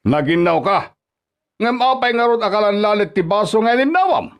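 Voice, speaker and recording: A man's voice: high voice, very animated, normal speed. An actor with a Filipino accent playing a domineering, scornful older father-in-law in an Ilocano radio drama, scolding and badgering. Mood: nagging.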